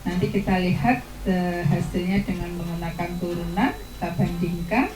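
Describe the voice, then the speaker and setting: A woman's voice: fairly low pitch, steady tone, normal speed, reverberant, music in the background, rough recording. A woman explaining a math lesson to students step by step, in a patient teaching manner.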